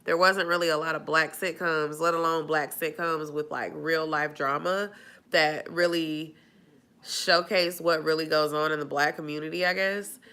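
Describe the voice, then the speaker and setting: A woman's voice: low-pitched, fairly even intonation, moderate pace, pushed and bright, clear, resonant tone. A woman chatting casually on a live stream, nasal and stuffy from a cold, rambling with lots of fillers. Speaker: vlogger answering live chat.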